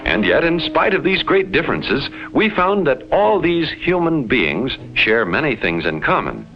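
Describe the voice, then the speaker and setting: A man playing a detached alien explorer, narrating in a formal, measured 1950s educational film style with precise enunciation. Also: on the high side, very animated. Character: detached alien explorer reporting on Earth's inhabitants. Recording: dull vintage 1950s film soundtrack with music.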